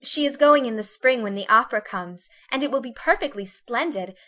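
A woman voicing a delicate, complaining young lady, speaking softly and plaintively. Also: a little high, expressive, a little fast, pitched above the performer's own voice. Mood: fretful.

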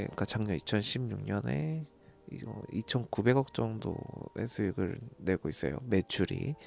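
A man hosting a stock tip broadcast solo, speaking politely and plainly with hesitant pauses. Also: medium pitch, vocal fry, a little mellow. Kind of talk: informal solo stock recommendation talk.

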